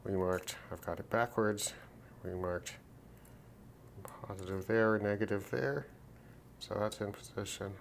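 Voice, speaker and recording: A man casually narrating a hands-on repair demonstration, thinking aloud in an unhurried way as he works. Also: lowish voice, steady tone, creaky, slight background noise. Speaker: repair video host.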